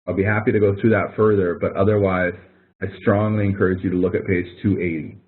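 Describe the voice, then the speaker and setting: A man's voice: deep voice, flat delivery, fast. A man instructor talking students through an assignment in a casual, explanatory webinar style, thinking aloud as he demonstrates. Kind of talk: webinar walkthrough. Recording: phone-like narrow audio from a webinar.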